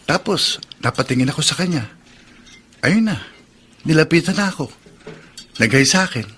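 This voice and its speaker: A man's voice: a little high, lively intonation, normal speed, fairly bright tone, husky. A man playing a sincere, wistful young man in a Filipino radio drama. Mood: shy, hopeful.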